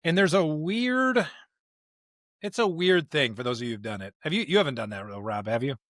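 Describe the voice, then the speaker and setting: Animated man with a higher-pitched voice. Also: brisk, no room sound, studio quality. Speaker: podcast co-host. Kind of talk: conversational religious discussion.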